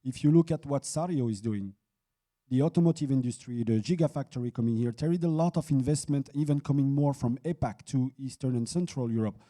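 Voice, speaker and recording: A man speaking on a business conference panel as a site leader, halting, with many restarts and fillers. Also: mid-range voice, dark tone. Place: conference hall.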